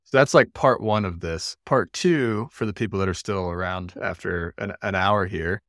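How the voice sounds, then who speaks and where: A man with a very low voice hosts a podcast interview. He frames his questions thoughtfully and builds them up slowly. His sound is dry and close to the mic. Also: fairly bright tone, no echo. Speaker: podcast host.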